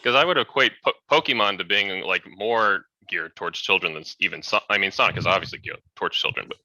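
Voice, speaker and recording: A young man with a low, strikingly sharp, forceful voice. He talks casually and skeptically, giving opinions among friends. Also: fast, no echo, low-quality recording. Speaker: podcast co-host.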